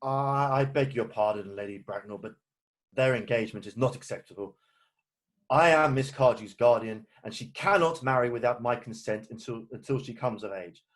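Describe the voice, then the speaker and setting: A man playing a proper, earnest gentleman guardian in an amateur play reading, formal and stiffly indignant. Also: mid-range voice, normal speed, lightly acted. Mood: firm, formal. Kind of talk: amateur play read-through.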